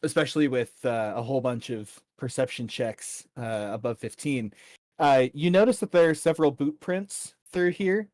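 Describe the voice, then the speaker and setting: A man running a tabletop game as game master, improvising narration and pausing often mid-sentence. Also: medium pitch, darkish tone, no room sound, clean studio sound.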